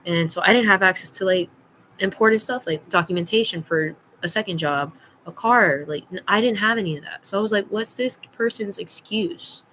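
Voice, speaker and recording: A woman's voice: mid-range voice, moderate pace, fairly bright tone, slight background noise, low-quality recording. A young adult woman telling a personal story casually, in a blunt, slangy, emphatic way, acting out bits of dialogue. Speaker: storytime vlogger.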